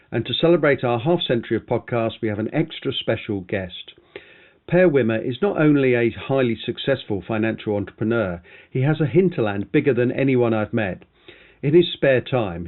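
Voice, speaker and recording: Podcast host, man, average pitch, interview style with introductions and questions. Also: phone-quality sound.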